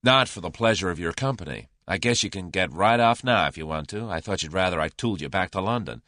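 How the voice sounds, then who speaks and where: A man voicing a brash, confident young American man with a brisk, folksy drawl. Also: low-pitched, expressive, pushed and bright, no room sound, clean studio sound. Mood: brisk, commanding.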